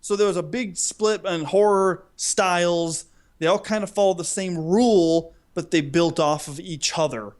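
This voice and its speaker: A man co-hosting a podcast, telling stories in a casual, humorous, animated and rambling way. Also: high-pitched, normal speed. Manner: animated, rambling, acts out imagined dialogue. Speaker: podcast co-host. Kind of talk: casual humorous chat, storytelling.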